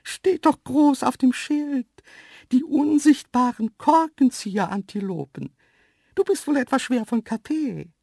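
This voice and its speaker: Cheeky, haughty little female antelope, played by a male reader, high delicate voice with a husky edge, children's storytelling. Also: lively intonation, unhurried, a little mellow, dry recording, studio quality, exaggerated voice. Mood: mocking. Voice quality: delicate, small voice. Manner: saucy, haughty. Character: cheeky, dainty invisible female antelope, quick to take offence.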